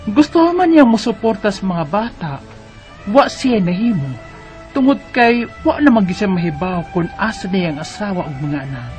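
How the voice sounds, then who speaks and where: High, lively male letter reader. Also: normal speed, faint music behind. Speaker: radio drama reader. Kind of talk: reading a listener's letter aloud.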